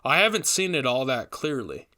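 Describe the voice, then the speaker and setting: A man's voice: mid-range voice, very animated, normal speed, pushed and bright. A man voicing an earnest, troubled young forest ranger in a storybook reading, thoughtful and hesitant. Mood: uneasy.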